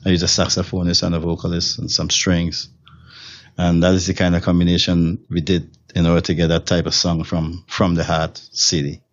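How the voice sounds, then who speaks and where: Deep-voiced Trinidadian man, rambling. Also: monotone, normal speed, no room sound, dull audio. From Trinidad and Tobago. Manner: rambling, many fillers and restarts. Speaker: steel drum musician, interview guest. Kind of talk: relaxed interview answers. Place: hotel room.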